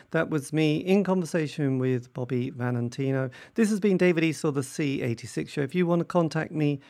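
English male podcast host, warm mellow tone, enthusiastic, jokey delivery. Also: mid-range voice. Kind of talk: interview and sign-off.

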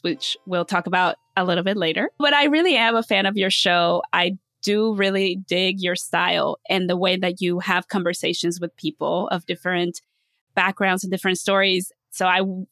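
A woman speaking as a podcast host, upbeat and expressive, addressing people directly in a conversational way. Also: fairly low pitch, no echo. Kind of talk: podcast intro and interview chat.

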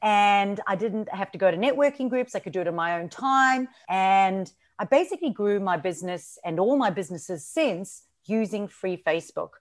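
A woman's voice: medium pitch, expressive, moderate pace, hardly any pauses. A woman from South Africa with a mixed South African and Australian accent, chatting as a podcast guest with frequent ums and laughs. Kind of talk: casual interview chat.

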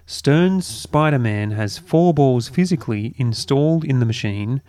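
A man hosting a casual podcast, croaky from a recent cold, with a dry, self-mocking humour. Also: mid-range voice, animated, measured pace, mellow. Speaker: podcast host.

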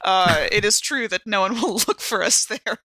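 A woman's voice: medium pitch, moderate pace, bright, forceful tone, no room sound, clean studio sound. A woman improvising in character as a player in a role-playing game, wry and a little hesitant. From San Francisco Bay Area, said in character.

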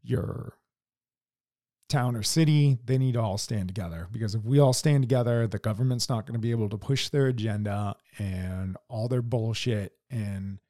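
A man's voice: on the low side, moderate pace, warm tone. A man co-hosting a podcast, talking bluntly with lots of swearing and stammered restarts in a casual opinion chat. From United States. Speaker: podcast co-host.

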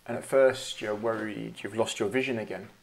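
A man running a tabletop game as game master, laughing often and dropping to a slow, hushed delivery for dark narration. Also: fairly low pitch, fairly even intonation, creaky, low-quality recording.

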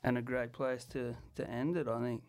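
A man hosting an interview, relaxed, with short remarks. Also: mid-range voice, moderate pace. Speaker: interview host. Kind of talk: casual interview chat.

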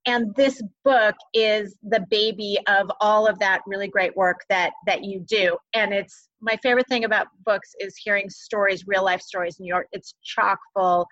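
A woman's voice: medium pitch, steady tone, moderate pace, hardly any pauses, a bit bright, dry recording. A woman hosting an interview in an enthusiastic, gushing way, laughing easily. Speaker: podcast host. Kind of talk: casual interview chat. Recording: dull, dry lo-fi sound.

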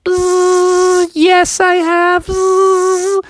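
A woman voicing a male fly who buzzes between his slow, drawn-out words. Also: very high-pitched, completely flat delivery, dry recording, clean studio sound, soft background music, pitched above the performer's own voice, heavily acted. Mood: buzzy. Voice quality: buzzing hiss. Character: buzzing talkative fly, male, sugar-loving.

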